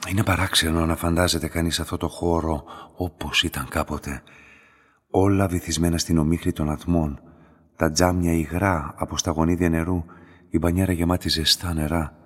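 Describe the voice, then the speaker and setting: An actor playing a calm, enigmatic middle-aged laboratory master in a radio drama, measured and quietly insistent, with a husky voice. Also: male voice. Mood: wistful, brooding. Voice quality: deep, husky. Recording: long hall-like reverberation.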